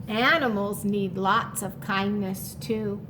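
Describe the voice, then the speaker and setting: A woman reading a picture book aloud to young children, pausing to explain it simply and speaking to the listener in an encouraging way. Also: medium pitch, fairly even intonation, background noise. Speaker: storytime reader for children.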